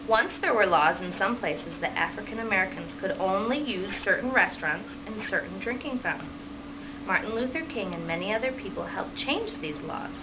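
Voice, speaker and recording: A woman primary school teacher reading a picture book aloud to children and pausing to prompt them with questions. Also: mid-range voice, expressive. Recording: dull lo-fi sound with clear background noise.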